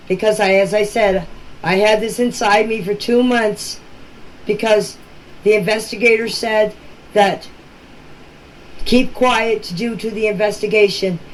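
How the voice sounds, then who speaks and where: An older woman talks informally and emphatically in a voice message, plain-spoken and often repeating herself. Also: medium pitch. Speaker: relative speaking about a missing-children case. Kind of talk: informal personal voice-message talk. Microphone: phone voice message. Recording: noisy amateur voice-message recording.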